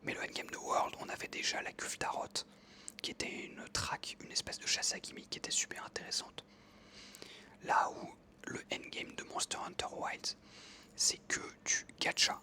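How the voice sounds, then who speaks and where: An ASMR creator whispering a casual game commentary close to the microphone, pausing and correcting themselves as they go. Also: pushed and bright. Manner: whispering throughout, hesitant pauses with frequent self-corrections.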